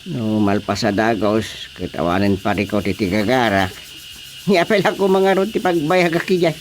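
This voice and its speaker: An easygoing village husband and father in an Ilocano radio drama, played by a man. His voice sits fairly low, with faint noise in the background. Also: lively intonation, normal speed, lightly acted. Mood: unhurried.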